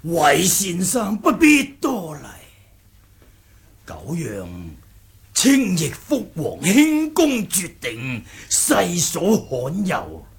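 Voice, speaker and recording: An actor playing a venerable, courteous old Taoist master in a Cantonese radio drama, husky and stately. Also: male voice, sits high, huge pitch swings, drawn-out words, full character voice. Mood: gracious.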